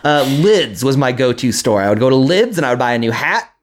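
Man, American accent, medium pitch, casual podcast banter, joking, playful. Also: big pitch swings, a little fast, a crowd in the background. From Minneapolis, United States. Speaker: podcast host. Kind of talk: casual comedic chat.

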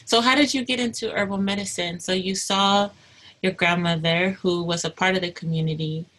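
Woman host, bright forward voice, slangy relaxed chat, laughs a lot. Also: on the low side, fairly quick. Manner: warm, chatty, slangy, laughs easily. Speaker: interview host. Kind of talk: casual interview chat.

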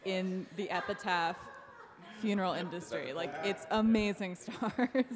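A woman's voice: mid-range voice, a little slow. A woman telling casual, comic stories as a podcast guest in a reverberant room with people around. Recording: reverberant room with audible crowd. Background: crowd.

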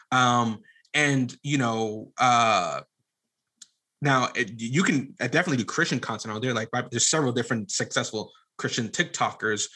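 Man, very bright forward voice, mid pitch, casual podcast chat, halting, rambling, frequent restarts. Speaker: podcast guest and media producer. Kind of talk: casual conversational interview talk.

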